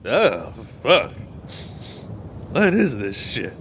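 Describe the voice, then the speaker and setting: A man's voice: medium pitch, huge pitch swings, drawn-out words, fairly bright tone, a little hiss or hum, full character voice. A man playing a wry, grumbling bounty hunter's partner in an audio drama. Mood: disgusted. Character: wry, grumbling male crew member, loyal partner.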